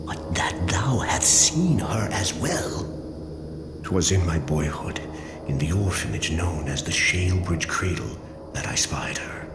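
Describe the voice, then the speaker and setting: A man playing a grave, haunted, aging holy man in a fantasy game, with solemn archaic speech that is often breathy and hushed. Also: low voice, lively intonation, measured pace. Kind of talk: dramatic fantasy game acting. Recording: echoey room, loud music behind.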